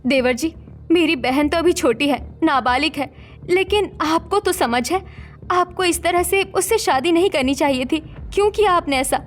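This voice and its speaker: A woman playing a protective, reproachful young wife in an animated family melodrama, speaking emphatically. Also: extremely high pitch, moderate pace. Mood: angry, reproachful. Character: distressed young married woman, protective, reproachful daughter-in-law.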